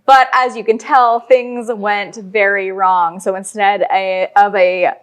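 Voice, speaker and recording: A woman's voice: mid-range voice, little pitch movement, takes it slowly. A woman speaking as a guest on a talk show, chatting about an audio drama. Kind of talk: interview chat.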